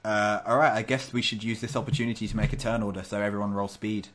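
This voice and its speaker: Low-voiced man running a tabletop game. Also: little pitch movement, fast, a bit bright. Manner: loose, swearing, stumbling over words. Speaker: game master.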